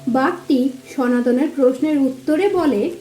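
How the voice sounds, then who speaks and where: A woman with a high, mellow voice narrates a scripted fairy tale. She tells it as a storyteller for a cartoon story, keeping a soft, rounded tone. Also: measured pace, faint music behind, low-quality recording.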